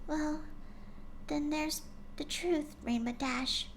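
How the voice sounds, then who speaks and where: A woman putting on a hushed shy pony girl. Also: sits high, steady tone, slow pace, slight background noise, voice pushed up. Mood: soft, sorrowful. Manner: soft, breathy and hushed. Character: shy gentle young female pegasus pony. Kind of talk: fanfic reading aloud.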